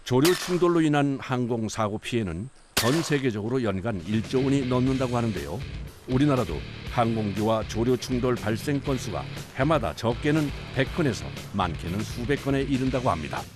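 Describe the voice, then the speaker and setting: A male documentary narrator reads his script in a calm, informative way. His voice moves up and down a lot as he explains things. Music plays clearly behind him. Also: mid-range voice, brisk. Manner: calm, even informative delivery.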